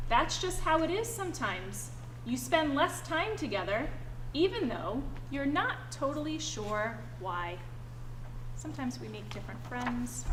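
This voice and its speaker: High-voiced woman reading to children, echoey room. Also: fairly bright tone. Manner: encouraging, addresses children with endearments. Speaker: educator reading aloud to children. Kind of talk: animated read-aloud and chat with children. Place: indoor school family event, live audience. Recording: echoey room with background noise.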